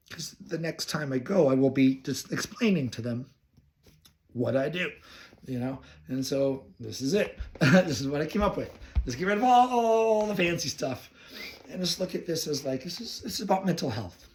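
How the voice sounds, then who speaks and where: A young man with a hoarse voice from laryngitis, talking casually and reflectively to the camera, rambling and correcting himself as he goes. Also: mid-range voice. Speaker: counseling student. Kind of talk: casual personal talk to camera.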